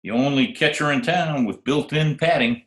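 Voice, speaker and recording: A man's voice: fairly high pitch, moderate pace, close to an everyday voice. An actor playing a wry, hard-boiled private detective who narrates his own case in an old-time radio drama. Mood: teasing. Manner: wry, deadpan wisecracking. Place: at home, recorded remotely. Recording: roomy remote home recording, music behind.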